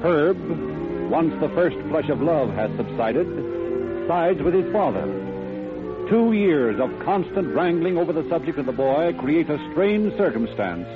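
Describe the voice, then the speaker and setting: Casting: male American narrator for vintage radio drama, formal, expressive storyteller, music underneath, thin old-broadcast sound. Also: fairly high pitch, a little slow, narrow, tinny audio.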